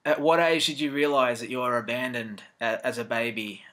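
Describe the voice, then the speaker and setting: An Australian man hosts a television interview show with a bright, forward voice at an ordinary pitch. He chats easily and sometimes repeats himself while building up a question. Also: fairly even intonation, brisk. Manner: chatty, repeats words as he asks questions. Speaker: TV show host. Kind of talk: interview hosting.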